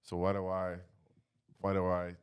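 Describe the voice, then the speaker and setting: A man with a low, resonant voice leads a casual faith discussion. His intonation stays quite flat. He reflects as he goes, halting and padding phrases with "you know". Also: smooth.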